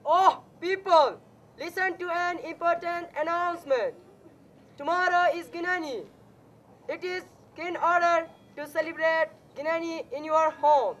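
A student actor playing a village town crier, proclaiming slowly and loudly, in a dull lo-fi recording. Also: female voice, very high voice, slight background noise. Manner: slow, loud proclaiming.

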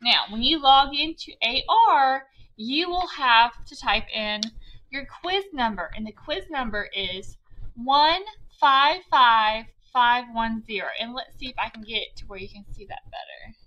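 Female primary teacher for a children's read-aloud: lively, swooping intonation, bright tone, deliberate delivery. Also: on the high side, highs cut off. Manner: deliberate, clearly spelled-out instruction for young children.

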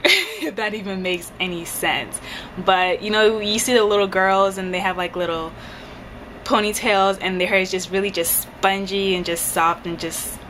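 Young woman, lowish voice, casual Q&A vlog, hesitant with ums, bedroom, camera mic, audible hiss. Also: low-quality recording. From Jamaica. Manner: chatty, hesitant with many ums, light laughs. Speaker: beauty vlogger answering viewer questions. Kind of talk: casual Q&A chat. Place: indoors, bedroom in front of a headboard. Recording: steady background hiss.

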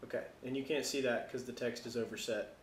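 A man's voice: medium pitch, steady tone, quick pace. Casual American man in a roomy, noisy recording. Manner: informal, thinking aloud while working. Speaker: tutorial presenter. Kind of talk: casual software tutorial narration.

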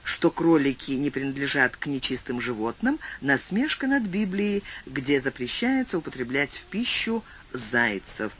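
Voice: normal speed, slight background noise. An audiobook narrator giving a scripted literary reading and reading explanatory footnotes aloud. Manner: animated reading with wide pitch swings.

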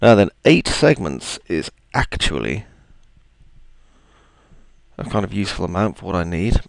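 A man with a gravelly, deep voice, chatting his way through a software tutorial with plenty of ums and asides. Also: sing-song, measured pace, no room sound. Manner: chatty, self-deprecating, thinks aloud with ums. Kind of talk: screen-recorded software tutorial.

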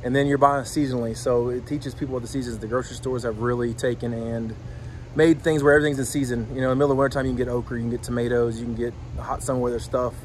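A man's voice: mid-range voice, fairly even intonation, rapid-fire, rough recording. A man, casually explaining his work as a farmer while giving a tour. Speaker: farmer showing the farm. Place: outdoors and in greenhouses on a farm. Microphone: phone camera a step away. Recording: outdoor phone recording with background noise.

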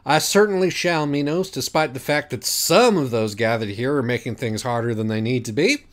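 A man's voice: mid-range voice, moderate pace, natural voice. A man voicing an aloof, theatrical man who judges sins in an audiobook, pedantic and self-important. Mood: grandiose. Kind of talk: audiobook character voice.

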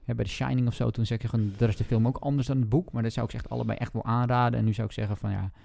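A man's voice: medium pitch, steady tone, racing, gravelly, warm tone, no room sound, studio quality. A man, co-hosting a casual book talk, hesitant and often repeating and correcting himself. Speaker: book podcast co-host. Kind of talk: casual book and film discussion.

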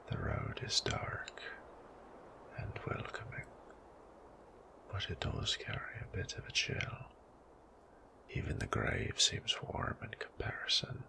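A man voicing a sly ancient male vampire who teases and threatens in a slow, silky, creaky whisper for an ASMR audio roleplay. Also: low-pitched, exaggerated voice, rough recording.

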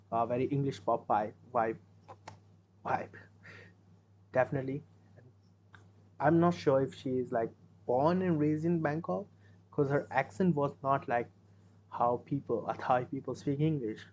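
A man's voice: medium pitch, darkish tone, dull audio, slight background noise. Chatty Indian man reacting to music. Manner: rambling, self-correcting, chatty. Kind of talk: casual reaction commentary. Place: small room indoors.

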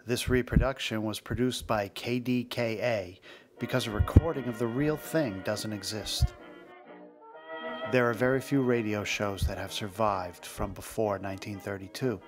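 A man's voice: fairly low pitch, fairly even intonation, normal speed. A man narrating a scripted history podcast as its host, with music playing behind him. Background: background music.

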